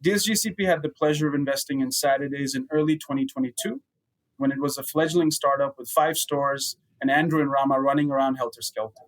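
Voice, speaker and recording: A man's voice: medium pitch, a little fast, rough recording. A man hosting a business podcast as an investor, giving a prepared but conversational introduction. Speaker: podcast host, venture capital investor.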